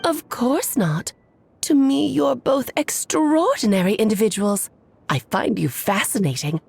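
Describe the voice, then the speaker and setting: A woman's voice: fairly high pitch, expressive, measured pace, gravelly, husky, soft background music, heavily acted. A woman voicing a playful, elegant, mysterious witch aunt in a video game, speaking in a coy, theatrical, genteel manner. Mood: fond, composed. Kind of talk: video game character acting.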